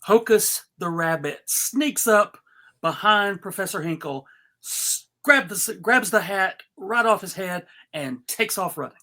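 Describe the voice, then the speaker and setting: A woman's voice: medium pitch, lively intonation, a little slow, sharp tone. A woman narrating stage directions in a live script reading.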